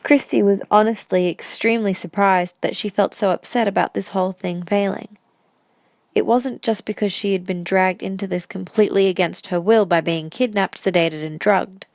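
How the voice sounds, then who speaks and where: A woman's voice: medium pitch, fairly even intonation, moderate pace, a little mellow, no room sound, studio quality. A woman reading scripted story narration for an audio drama.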